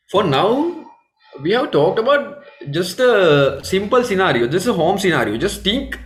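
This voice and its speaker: A man with a Sri Lankan accent giving a live webinar training as a technical trainer, explaining step by step in halting, repetitive phrases. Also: high-pitched, a bit bright.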